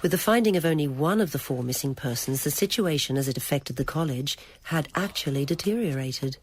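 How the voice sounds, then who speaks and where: A narrator reading a novel aloud in a measured, literary storytelling manner. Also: medium pitch, lively intonation, slight background noise. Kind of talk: scripted audiobook-style narration of a novel.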